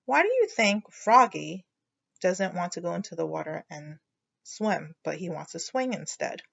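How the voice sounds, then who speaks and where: A woman's voice: fairly low pitch, sing-song, normal speed, vocal fry, a bit bright, lo-fi sound. A woman teacher leading a children's read-aloud lesson, explaining as she thinks aloud and prompting listeners with questions.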